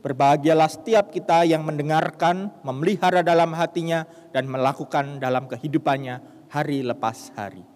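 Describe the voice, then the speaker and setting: A male preacher with a broad Banyumas Javanese accent and a somewhat high voice. He reads and preaches with emphatic, rising delivery. The sound carries a long church reverb. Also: a little fast, darkish tone. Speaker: pastor. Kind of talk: sermon and scripture reading.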